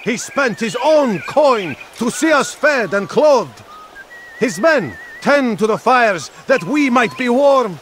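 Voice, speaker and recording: A woman's voice: a little high, lively intonation, a little hiss or hum. A woman playing a devout town crier in a video game, praising a benefactor in a declamatory way. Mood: reverent. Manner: proclaiming, slow, declamatory. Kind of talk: video game crowd character acting.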